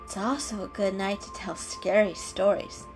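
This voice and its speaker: A woman's voice: medium pitch, fairly even intonation, normal speed, music in the background, lightly acted, low-quality recording. A woman voicing a kindly female calico cat in a storybook reading, explaining things patiently and knowingly. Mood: kindly, cheerful.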